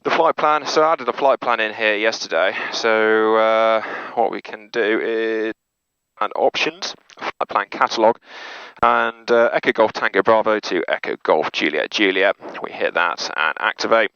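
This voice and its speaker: A man's voice: lowish voice, moderate pace, bright, forceful tone, no room sound. A man presenting as a pilot, methodically talking through hands-on cockpit checks item by item. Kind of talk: hands-on cockpit procedure walkthrough. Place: small turboprop aircraft cockpit. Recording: muffled audio with clear aircraft noise.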